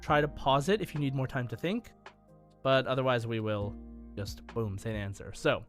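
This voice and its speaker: A man hosting a gaming podcast in casual, enthusiastic talk, with halting starts and emphatic stresses. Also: mid-range voice, soft background music. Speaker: podcast host and quizmaster.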